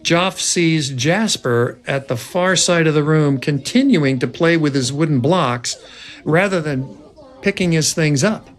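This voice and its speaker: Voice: mid-range voice, animated, measured pace. A narrator reading scripted stage directions aloud at a film table read.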